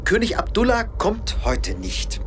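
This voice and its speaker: Weary middle-aged businessman, lively pitch. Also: unhurried, slight background noise, full character voice. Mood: casual, reassuring. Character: Alan Clay, weary middle-aged American businessman, politely insistent. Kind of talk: naturalistic radio drama acting.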